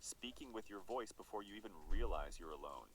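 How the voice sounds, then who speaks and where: A man's voice: on the low side, animated, fairly quick, background noise. A man reading scripted horror documentary narration in an ominous, dramatic manner.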